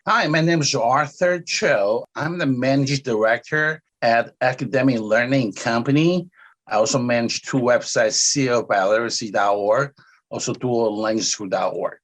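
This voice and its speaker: Man, relaxed interview guest. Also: medium pitch. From Taiwan. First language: Cantonese. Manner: relaxed, with frequent "uh" pauses. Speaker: podcast guest. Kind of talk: casual interview answers.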